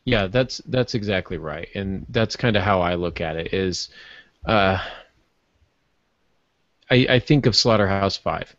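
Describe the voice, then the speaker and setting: A man's voice: low-pitched, unhurried, pauses often, fairly bright tone, no echo, dull audio. A man co-hosting a casual book discussion in an agreeable, conversational way. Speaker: podcast co-host.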